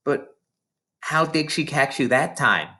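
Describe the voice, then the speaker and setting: A man's voice: a little high, lively intonation, slow, pushed and bright, exaggerated voice. Man as a breathless, eager little schoolgirl. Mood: spellbound. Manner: breathless with wonder. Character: small, eager, spellbound schoolgirls begging for more story. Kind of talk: children's storybook reading.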